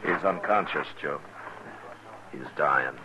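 A man acting a stern, watchful, lonely U.S. marshal in a 1950s radio western, speaking tersely and gravely. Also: a bass voice, takes it slowly. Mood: urgent, grave. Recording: muffled old radio recording, faint noise.

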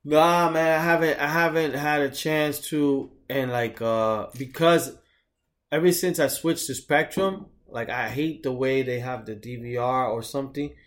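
A man in his thirties talks loosely and reflectively on a podcast, cursing casually as he tells stories. His voice sits a little higher than most men's. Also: fairly even intonation, unhurried. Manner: laid-back, swearing, reflective storytelling. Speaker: podcast co-host. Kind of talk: casual chat.

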